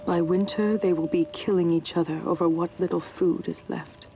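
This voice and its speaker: A woman acting a dark-voiced, cold warrior. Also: fairly low pitch, fairly even intonation, moderate pace, close to an everyday voice, low-quality recording. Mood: ominous. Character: cold, calculating female warrior foretelling famine. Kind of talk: dramatic game cutscene acting.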